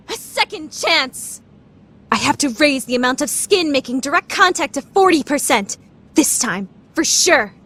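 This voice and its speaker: A woman's voice: high voice, very animated, measured pace, fairly bright tone, slight background noise, exaggerated voice. A woman playing a proud, scheming teenage student council vice president in an anime radio play, formal and theatrical. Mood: flustered, indignant. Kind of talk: anime fan dub radio play acting.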